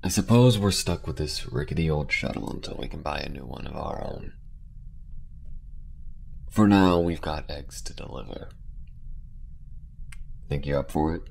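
A man acts out a roguish alien space courier for an intimate audio roleplay. The voice is extremely deep and gravelly, often soft and close to a whisper. He comes across as weary but tender toward his partner. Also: lively intonation, moderate pace, pauses often, slight background noise, exaggerated voice. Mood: warm, adventurous.

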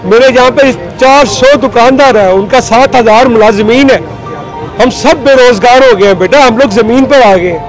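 Agitated middle-aged man, high strained voice. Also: normal speed, soft background music, poor audio. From Pakistan. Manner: agitated, pleading, gesturing hard. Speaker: market trader leader. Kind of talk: street interview. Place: outdoors on a busy city street. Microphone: handheld reporter mic.

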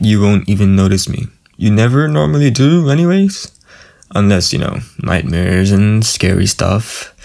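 A man playing a friendly, gentle sleep paralysis demon in a casual, soothing, reassuring ASMR boyfriend roleplay. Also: lowish voice, creaky. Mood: playful, cozy.

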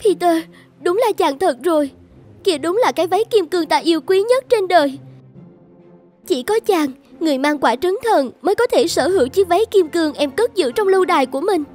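A woman's voice: very high voice, animated, fairly quick, a little mellow, faint music behind. A woman voicing a proud, choosy young princess in a fairy-tale cartoon. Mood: joyful recognition.

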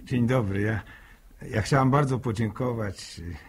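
Bashful, husky young villager. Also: male voice, medium pitch, measured pace. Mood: bashful, grateful. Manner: bashful, hesitant, repeating words. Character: shy, grateful young village man. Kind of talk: 1960s radio acting, informal thank-you speech.

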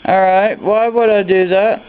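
High-voiced man on a tinny recording. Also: steady tone, a little slow, clean tone. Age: adult. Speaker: person answering a suspicious phone call. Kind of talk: phone conversation. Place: indoors at a home desk.

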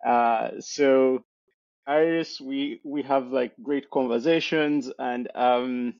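A young man with a gravelly, creaky voice hosts a podcast, friendly and a bit hesitant, with lots of fillers. The call audio is dull and dry, picked up from a headset. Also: medium pitch, steady tone, takes it slowly, darkish tone, no room sound. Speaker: podcast host. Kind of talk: casual interview conversation. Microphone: headset on video call.

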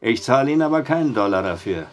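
A man's voice: mid-range voice, expressive, quick pace, soft background music, natural voice. An actor playing a dry, skeptical male barkeeper in an audio drama. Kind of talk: German audio drama acting.

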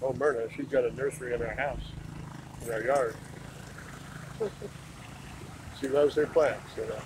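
A man's voice: medium pitch, fairly even intonation, unhurried. A man from Idaho in the US giving laid-back, chatty commentary as he films a walk on a town roadside with a handheld phone. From United States (Idaho). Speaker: vlogger on a walking tour. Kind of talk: casual walking commentary. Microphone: handheld phone camera. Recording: outdoor phone recording, clear traffic noise. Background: passing vehicles and street ambience.

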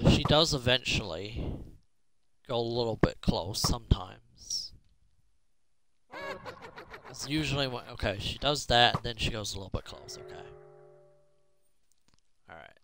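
A man's voice: mid-range voice, unhurried, long pauses, background noise. A man giving casual gameplay commentary, muttering to himself as he thinks aloud. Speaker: gamer hosting a roleplay video series.

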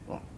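An actor playing a bitter, sarcastic middle-aged American ex-bank robber in a video game cutscene, profane and exasperated. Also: male voice, sharp tone, slight background noise, exaggerated voice. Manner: sardonic, profane, exasperated delivery.

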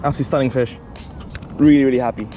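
A young man in his twenties, vlogging outdoors by a lake while fishing, talking excitedly and repeating himself as he reels in carp. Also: medium pitch, highs cut off, a little hiss or hum. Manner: excitable, repeats himself, fast exclamations while reeling in fish. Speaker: angler vlogger.

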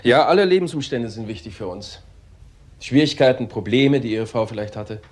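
An actor playing a matter-of-fact, persistent police detective in a crime drama, asking polite, direct questions. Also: male voice, medium pitch, normal speed, lightly acted. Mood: inquiring. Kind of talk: German crime drama acting.

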